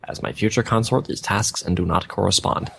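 A young man with a lowish, creaky voice reads fan fiction aloud. He trips over clumsy wording and steps out of the text, bemused. Faint hiss and clicks sit on the home recording. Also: a little fast. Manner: stumbling over garbled text, often breaking off bemused. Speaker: fan fiction reader on a gaming video. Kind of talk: reading a story aloud with amused asides.

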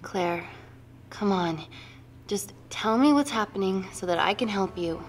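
A woman's voice: medium pitch, a little slow, lightly acted. Woman acting earnest young scientist, urgent. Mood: concerned. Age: young adult. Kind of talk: film drama acting.

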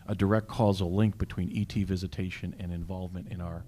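A man on a panel answering an audience question in a measured, explanatory way. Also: low voice, fairly even intonation, dark tone, slight background noise.

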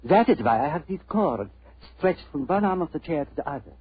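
Husky old German antique dealer. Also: male voice, a little high, lively intonation, brisk. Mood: earnest, explaining. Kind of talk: 1940s radio acting. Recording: tinny, band-limited old radio recording.

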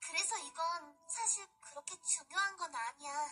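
A woman's voice: extremely high pitch, racing, bright, forceful tone. A woman voicing a cutesy, bashful, teasing young girlfriend in an anime visual novel, playful and coy. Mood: fond. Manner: playful, coy, hesitant with little giggles.

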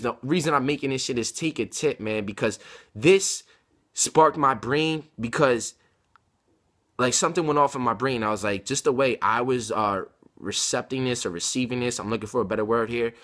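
A man in his twenties talks off the cuff in a home video blog. His delivery is slangy and emphatic, wandering from thought to thought at an ordinary mid-range pitch, picked up by a laptop microphone in a room at home. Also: a bit bright. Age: 20s. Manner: slangy, emphatic, rambling street-style talk. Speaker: rapper and video blogger. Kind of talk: casual vlog talk to camera. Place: home room with bookshelves, indoors. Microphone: laptop webcam mic at arm's length.

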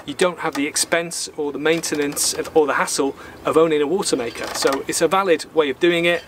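Higher-pitched man presenting outdoors. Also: fairly even intonation, a little fast, a bit bright. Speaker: sailing channel host. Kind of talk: instructional vlog to camera. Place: outdoors on a small boat in a marina. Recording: outdoor marina background noise.

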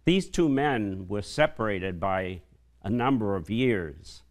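An older man, a priest, giving a measured, reverent homily at a church lectern microphone. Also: fairly low pitch, lively intonation. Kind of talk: homily at Mass.

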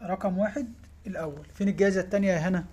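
Fast-talking Egyptian man in a shop. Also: sits high, warm tone, slight background noise. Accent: Egyptian Arabic. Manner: quick, repeats "tamam?" to check in, coaxing children along. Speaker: fishing tackle shop owner. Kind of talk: casual shop video presenting giveaway prizes. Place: indoor fishing tackle shop.

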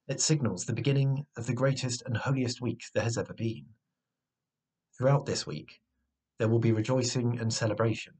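A young man with a husky, mid-pitched voice delivers a sermon. He speaks in a measured, reflective way, with earnest weight on his phrases. He is recorded in a small room at home on a device microphone. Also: darkish tone. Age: 20s. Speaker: preacher. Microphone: webcam or device mic at arm's length.

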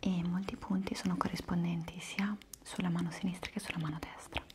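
A young woman in an ASMR roleplay as a palm reflexology therapist, whispering breathily with polite, soothing, unhurried instructions. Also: lowish voice, flat delivery, noisy background. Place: small indoor room at a table. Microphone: lavalier microphones close by.

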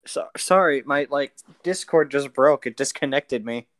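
A man chats and reads a story aloud in a casual way. His voice is very clear and smooth at a middle pitch. It is recorded close and dry on a voice call. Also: unhurried, no room sound. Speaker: podcast co-host reading aloud with friends. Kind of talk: casual chat and group read-aloud of a novel. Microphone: close mic on an online voice call.

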